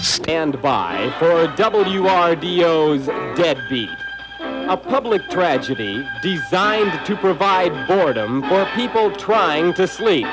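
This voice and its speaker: A man giving a mock-solemn, pompous scripted radio introduction in a deadpan way. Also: a little high, takes it slowly, low-quality recording. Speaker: radio announcer. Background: music.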